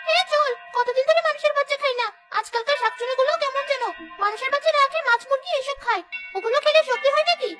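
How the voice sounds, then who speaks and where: Cartoon villain: hungry old she-ghost, extremely high and shrill, sneering, rapid delivery. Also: music in the background. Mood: scheming. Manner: shrill, mocking. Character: greedy, sneering older she-ghost who craves human babies. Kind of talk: Bengali cartoon voice acting.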